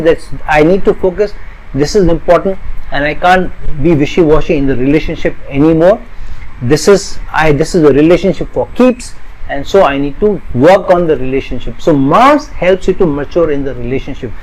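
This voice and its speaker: A middle-aged astrologer man from India with a notably high voice. He explains earnestly, piling up repeated parallel phrases one after another. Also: moderate pace, poor audio. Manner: earnest, repetitive, builds lists of parallel phrases. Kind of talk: explanatory talk to camera.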